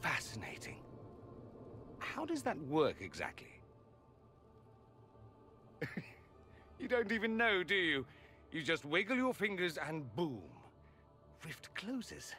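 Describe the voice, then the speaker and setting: Flamboyant, witty noble mage, lively pitch. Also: male voice, slow pace, pauses often, soft background music, exaggerated voice. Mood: amused, arch. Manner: theatrical, arch, articulate. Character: flamboyant, witty, self-assured young male noble mage. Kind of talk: video game cutscene acting.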